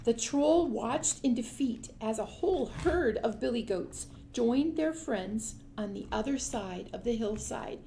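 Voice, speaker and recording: A woman's voice: fairly high pitch, animated, a little slow, hardly any pauses, mellow, a little hiss or hum. A woman hosting a children's storytime, explaining things simply and putting questions to young listeners. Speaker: library storytime host. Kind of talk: children's storytime read-aloud with asides and questions.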